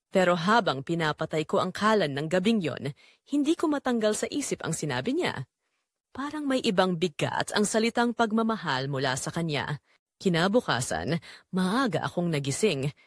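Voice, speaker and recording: A woman's voice: on the low side, big pitch swings, normal speed, no room sound, clean studio sound. A woman playing a lonely middle-aged widowed mother who tells her secretly yearning story in an audio drama. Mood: quietly yearning. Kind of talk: first-person dramatic audio story narration.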